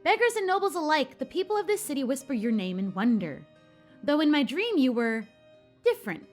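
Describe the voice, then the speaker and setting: Girl reading as a fancy bossy countess in a game, high voice, formal and commanding, very up and down. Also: very animated, fairly quick, soft background music. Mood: grave, formal. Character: imperious, elegant countess haunted by troubling dreams.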